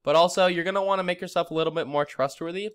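Man, slightly high voice, quick talker, informal upbeat tutorial style, consultant walking a client through a screen. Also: fairly bright tone, clean tone. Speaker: SEO consultant giving a website review. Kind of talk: casual screen-share tutorial addressed to a client. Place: screen recording voice-over.

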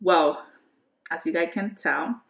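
Dominican girl in her 20s rambling thru q&a at home, lowish voice, echoey bedroom. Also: slow pace, vocal fry. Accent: Dominican Spanish. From Dominican Republic. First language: Spanish. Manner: chatty, trailing restarts, gesturing with hands. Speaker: beauty vlogger. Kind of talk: casual chat, answering questions to camera.

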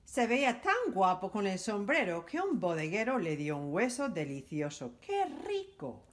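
A woman reading a children's picture book aloud for library storytime, in an animated, sing-song storytelling manner. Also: medium pitch, measured pace.

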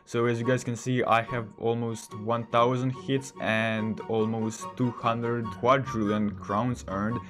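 A sixteen-year-old male gamer who is not a native English speaker, giving casual, rambling commentary as he hosts a gameplay video. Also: medium pitch. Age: 16, teenager. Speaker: gaming video host. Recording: voice under loud game music. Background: music.

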